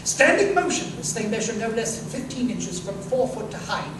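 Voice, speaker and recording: An actor playing an incisive, self-assured detective in a live 1930s-style radio drama, speaking precisely and emphatically. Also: male voice, high-pitched, big pitch swings, fairly quick. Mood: analytical. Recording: distant, echoey live stage recording, noisy room.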